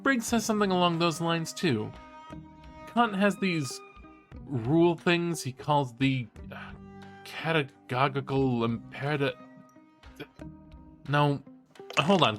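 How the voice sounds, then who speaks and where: A man's voice: on the high side, unhurried, pauses often, soft background music. A man playing a cheeky, enthusiastic college student who hosts a podcast, chatting playfully in an audio drama. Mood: eager, explanatory. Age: young adult. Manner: playful, casual, animated storytelling. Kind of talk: bantering, conversational podcast-style audio drama.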